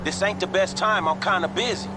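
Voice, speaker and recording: An actor playing a laid-back young street hustler in a video game, terse and slangy. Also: male voice, high-pitched, a little fast, close to an everyday voice. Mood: casual. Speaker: game character. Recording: game audio with clear engine noise. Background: vehicle noise.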